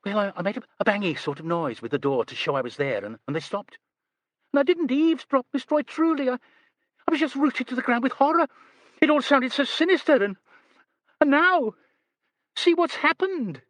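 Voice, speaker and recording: A man voicing a nervous, gossipy young woman who squeaks, stammers and rushes her words. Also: animated, fairly bright tone, no room sound. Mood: excited gossip. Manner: breathless, stammering, rushing.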